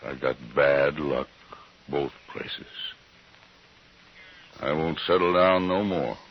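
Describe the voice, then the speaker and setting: An actor playing a weary, shamed, unlucky frontier settler in a 1950s radio western, with a plain rustic drawl. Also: male voice, extremely low pitch, takes it slowly, full character voice. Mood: weary, resigned. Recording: muffled, hissy 1950s radio recording.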